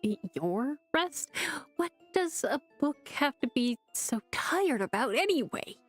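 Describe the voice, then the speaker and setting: A woman's voice: high-pitched, very animated, slow pace, husky, soft background music, voice pushed up, exaggerated voice. A woman voicing a weary young man who talks in a tired, dry, downbeat way.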